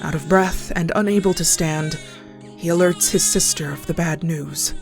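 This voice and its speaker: A woman's voice: low-pitched, moderate pace. A woman narrating a scripted horror story as a storyteller. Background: clear background music.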